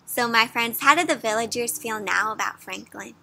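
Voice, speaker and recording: Young woman, children's read-aloud host. Very bright, high voice, animated and engaging with young listeners. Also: moderate pace, vocal fry, poor audio. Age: young adult. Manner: animated, addresses listeners with frequent questions. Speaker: children's story reader, host. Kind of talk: interactive picture-book read-aloud for kids. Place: outdoors under trees.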